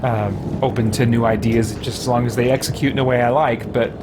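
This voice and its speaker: A man's voice: mid-range voice, fairly even intonation, brisk, background noise. A man giving casual, rambling and thoughtful gameplay commentary as a streamer. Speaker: gaming streamer.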